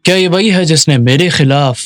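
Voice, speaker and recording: A man's voice: fairly high pitch, a little fast, no echo, lightly acted. A male voice actor dubbing a composed, self-assured boss in a drama. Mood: intrigued. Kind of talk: dubbed drama dialogue.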